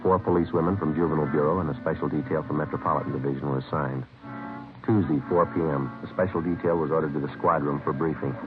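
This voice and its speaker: An actor playing a terse, businesslike American police detective in a 1950s radio crime drama, clipped and deadpan. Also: male voice, extremely low pitch, quick pace. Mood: matter-of-fact. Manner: clipped, deadpan, matter-of-fact. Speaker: police detective narrator and lead. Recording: muffled vintage radio recording with music.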